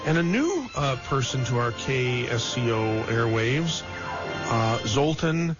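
A man hosts a talk radio program in a studio, talking in a loose, halting way full of ums and restarts. His voice sits at an ordinary middle pitch. The sound is a dull, lo-fi broadcast with faint music underneath. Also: very animated. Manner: hesitant, many fillers and restarts. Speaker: radio talk show host.

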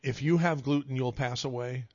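A man's voice: medium pitch, steady tone, normal speed, a little mellow, no echo. A man hosting a call-in radio show in a casual, jokey, rambling way, often restarting his phrases. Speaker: radio talk show host. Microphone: studio microphone. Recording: dull, dry radio studio sound.

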